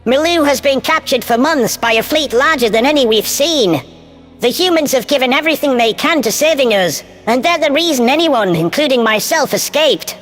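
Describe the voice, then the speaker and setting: A man's voice: extremely high pitch, expressive, normal speed, a bit bright, voice pushed up. A man voicing a tiny, grateful alien ambassador who makes sincere, formal speeches, heard in long reverb. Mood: earnest, grateful. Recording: long hall reverb, faint music.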